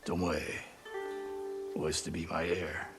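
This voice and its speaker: Deep-voiced, stern old samurai master. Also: male voice, monotone, over music. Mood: grave, regretful. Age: older. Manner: terse, grave, measured. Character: stern older samurai archery master, proud and regretful. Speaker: archery sensei. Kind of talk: dramatic video game acting.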